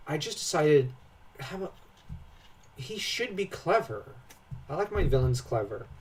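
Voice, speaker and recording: A man's voice: mid-range voice, darkish tone, far from the mic, slight background noise, low-quality recording. A man giving casual analytical commentary as a co-host, explaining his opinions.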